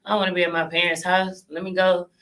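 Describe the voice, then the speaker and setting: A woman's voice: lowish voice, flat delivery, quick pace, a bit bright. A woman in her twenties, talking earnestly and thoughtfully as a regular on a casual talk show. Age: 20s. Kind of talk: casual podcast chat.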